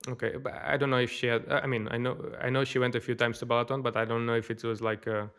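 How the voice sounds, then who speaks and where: A man's voice: medium pitch, level tone, quick pace, a bit bright. Casual Portuguese-accented man, podcast guest. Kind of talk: casual chat.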